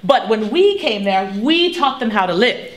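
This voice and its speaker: A woman's voice: fairly high pitch, sing-song, normal speed, echoey room. A woman playing a proud Spanish colonial teacher who lectures a crowd in a live history reenactment. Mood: defensive. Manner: theatrical, bossy, addressing a crowd.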